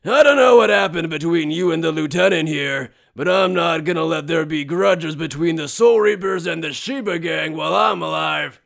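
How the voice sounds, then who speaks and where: A man playing a brash, big-hearted gang boss in an anime fan dub, loud, informal and blustering. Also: fairly high pitch, moderate pace, creaky, few pauses. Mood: boastful.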